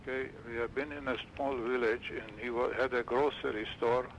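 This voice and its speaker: A man in his seventies from Romania, recalling his childhood in an interview and often pausing to search for words. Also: mid-range voice, steady tone, muffled recording, a little hiss or hum. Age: 70s. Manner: halting, restarts and searches for words.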